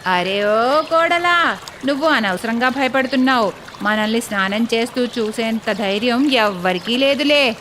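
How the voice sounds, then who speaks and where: A woman's voice: fairly high pitch, unhurried, fairly bright tone, a little hiss or hum. A woman voicing a reassuring elder sister-in-law in a cartoon story who brushes off worries.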